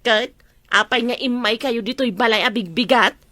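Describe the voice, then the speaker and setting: A defensive village wife played by a woman in an Ilocano comedy drama. Her voice is very high and bright, recorded close and dry. She bickers with an Ilocano accent. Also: moderate pace, no echo. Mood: irritated, bickering. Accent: Ilocano, northern Philippines.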